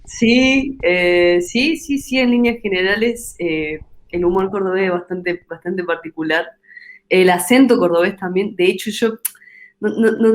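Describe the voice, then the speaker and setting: A woman's voice: on the low side, a little mellow. A young adult woman with an Argentine accent, a singer-songwriter from Córdoba answering interview questions in a thoughtful way, often searching for words and restarting phrases. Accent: Argentine Spanish. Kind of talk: reflective interview talk.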